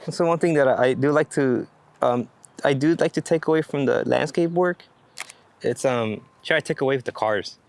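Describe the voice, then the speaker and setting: A man talking casually as an interviewed photographer, rambling and enthusiastic, with slangy speech and plenty of swearing. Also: mid-range voice. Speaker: street and landscape photographer being interviewed. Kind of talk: casual unscripted interview chat. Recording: clear background noise, outdoor ambience.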